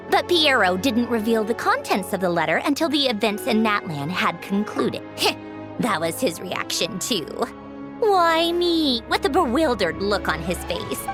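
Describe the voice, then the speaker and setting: A woman acting a haughty high-ranking noblewoman from a video game. She is poised and refined, teasing with a smug, condescending edge. Her pitch swings expressively, and music plays clearly behind her. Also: lightly acted. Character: haughty, teasing noblewoman harbinger fond of tea parties. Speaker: high-ranking official of an organization. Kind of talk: scripted video game character dialogue.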